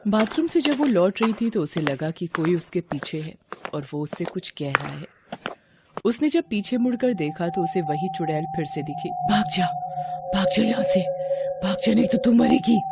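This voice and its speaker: Animated woman narrating a horror story. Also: on the low side. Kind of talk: dramatic scripted horror narration.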